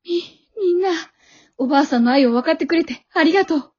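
An earnest young boy hero voiced by a woman in a comedy radio drama. He has a high, boyish voice and an exasperated, pleading way of talking. The audio is a bit dull. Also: fast talker, dark tone.

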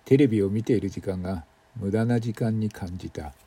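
A man's voice: lowish voice, a little fast, dark tone, breathy edge. A man playing a retired older man in a radio drama, reflective and muttering in a colloquial way. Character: retired old man, weary and grumbling, reflective.

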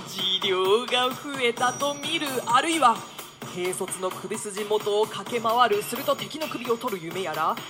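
A woman playing a boisterous, mocking young gentleman in a radio drama, declaiming archaic lines with bantering flamboyance. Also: medium pitch, lightly acted.

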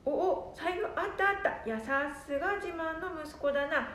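A woman's voice: normal speed, fairly bright tone. A woman playing a forgetful, stubborn old grandmother who rambles in Nanbu dialect, in an amateur radio drama. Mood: cheerful. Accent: Nanbu dialect, northern Tohoku. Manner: rambling, muttering to herself. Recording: echoey room, background rumble.

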